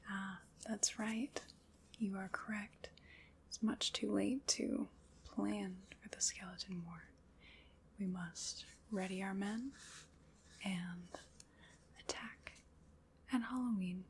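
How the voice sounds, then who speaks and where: ASMR roleplay: woman voicing a wry undead skeleton commander, slow gravelly whisper. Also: medium pitch, fairly even intonation, slight background noise. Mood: teasing whisper. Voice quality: soft whispering. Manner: dry, teasing, unhurried.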